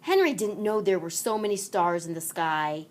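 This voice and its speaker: A woman narrating a children's read-along story aloud in an expressive, deliberate manner. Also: lowish voice. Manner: deliberate, animated delivery for children.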